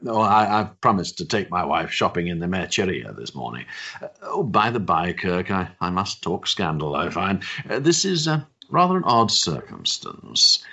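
A man acts out a genial old Victorian society doctor in a period play. His low, gravelly voice swoops about as he gossips with pompous, hesitant courtesy. The recording is a little muffled. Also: pushed and bright, heavily acted. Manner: hesitant, pompous, fussy upper-class politeness. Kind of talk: period stage comedy-drama acting.

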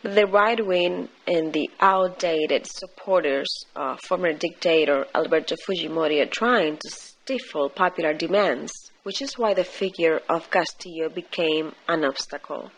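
A woman reading a scripted news commentary in a formal, deliberate way. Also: low voice, poor audio. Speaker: news reader.

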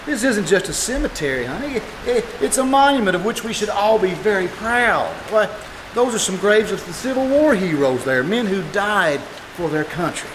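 An exasperated old-time radio dad played by a man, fairly high for a man, full of sarcasm and stammering bluster. The live stage sound is echoey and a little noisy. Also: sing-song, normal speed. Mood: reassuring, flustered. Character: exasperated sitcom father, sarcastic, blustering, trying to reassure. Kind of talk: 1950s radio comedy acting.

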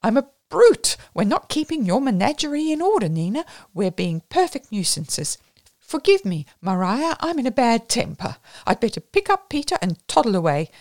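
A woman's voice: a little high, sing-song, a little mellow, dry recording, clean studio sound, voice pushed up. A woman doing a gushing society girl. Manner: gushing, rapid, apologetic. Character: lively upper-class young woman, impulsive.

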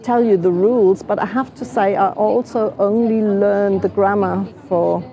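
A woman's voice: medium pitch, steady tone, normal speed, lo-fi sound. A middle-aged German-born woman talking about language teaching in an interview, thoughtful and hesitant, with frequent fillers. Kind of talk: casual interview conversation.

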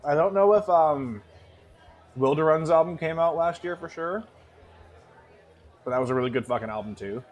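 A man's voice: fairly high pitch, normal speed, pauses often, lo-fi sound. A man co-hosting a podcast in casual chat, swearing freely and restarting his phrases for emphasis. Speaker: podcast co-host. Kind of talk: casual chat about music.

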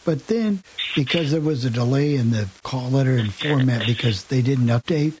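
A man's voice: medium pitch, normal speed, a little hiss or hum, low-quality recording. A man hosting a radio show, chatting casually and handing over to segments. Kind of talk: casual chat, introducing a segment.

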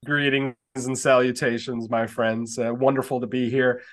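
A man's voice: medium pitch, level tone, normal speed, a bit bright. A man with the flu, speaking politely and a bit formally in casual podcast chat. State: sick with flu.

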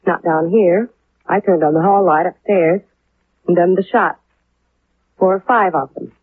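An actress playing a cool, guarded young widow in a 1950s radio drama, with a self-possessed, understated delivery. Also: female voice, fairly low pitch, unhurried, slightly rough, dry recording. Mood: guarded, flat. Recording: tinny, phone-like 1950s radio recording.

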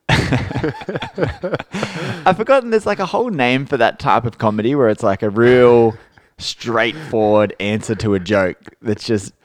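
Hoarse man co-hosting a podcast. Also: medium pitch, lively intonation, a little slow, pauses often, dry recording. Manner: chatty, laughs easily. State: hoarse, recovering voice. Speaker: podcast co-host. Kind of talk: casual chat.

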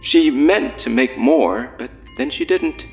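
An actor playing a lonely, gently menacing creature in an audio drama, hushing and confiding in a halting way. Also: medium pitch, very animated, muffled recording, faint music behind. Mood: pained, pleading.

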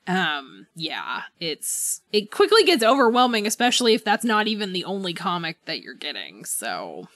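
A woman co-hosting a podcast, chatting casually and enthusiastically like a fan, quick to giggle. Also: mid-range voice, sing-song, fairly bright tone, no echo. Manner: giggly, prone to laughing; informal, enthusiastic fan talk. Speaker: podcast co-host. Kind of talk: casual book club chat.

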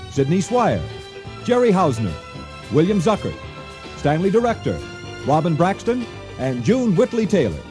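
A man working as a radio announcer, reading scripted programme credits. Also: high-pitched. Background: theme music.

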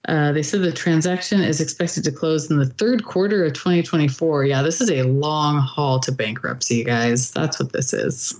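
A woman's voice: low voice, normal speed, hardly any pauses, darkish tone. A woman from California co-hosting a casual beer podcast. She talks with a dry, sarcastic edge and laughs easily between her remarks. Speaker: podcast co-host. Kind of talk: casual chat.

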